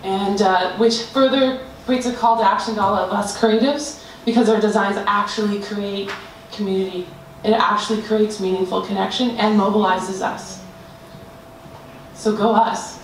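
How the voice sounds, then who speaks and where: A woman's voice: medium pitch, steady tone, normal speed. A woman presents a group design project. She talks hesitantly, with frequent fillers and restarts. She is captured from far off in a very echoey room, with faint noise behind her. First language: not Danish. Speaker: student presenter.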